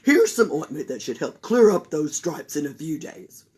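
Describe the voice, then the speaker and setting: A woman voicing a fussy, booming male doctor in a read-aloud storybook, loud and emphatic as he rattles off symptoms. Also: very animated, moderate pace, breathy edge, exaggerated voice.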